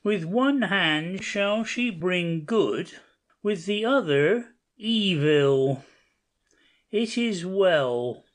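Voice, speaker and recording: A man playing a stately, scheming, vengeful king of the gods in an audiobook myth play, solemn and measured. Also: sits high, animated, full character voice. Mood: grave, commanding. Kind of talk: amateur audiobook play reading, declamatory.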